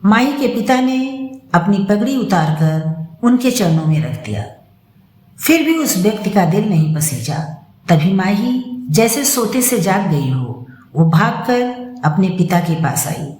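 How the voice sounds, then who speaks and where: A woman reading a scripted moral story as its storyteller, in a measured, deliberate way. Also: mid-range voice, very animated, darkish tone. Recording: roomy, echoing sound.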